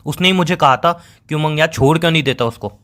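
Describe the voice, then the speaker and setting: A man's voice: fairly high pitch, fast, a bit bright, natural voice. A man playing a hurt, accusing young boyfriend in a dramatized breakup phone call, pleading and sarcastic and repeating himself for emphasis. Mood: bitter, accusing. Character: hurt, accusing young boyfriend confronting his cheating girlfriend.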